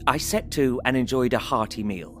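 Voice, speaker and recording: A man's voice: medium pitch, expressive, moderate pace, soft background music, lightly acted. An actor playing a polite, earnest young English solicitor narrating his journal in an audio drama with formal, precise diction. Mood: content, observant.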